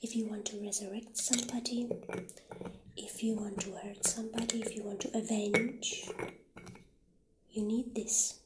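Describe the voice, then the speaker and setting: A woman speaking in a gentle, hushed ASMR roleplay style, partly whispering, as an obliging witch shopkeeper addressing a customer. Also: mid-range voice, flat delivery, unhurried, pauses often, background noise. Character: soft-spoken witch shopkeeper, calm and obliging.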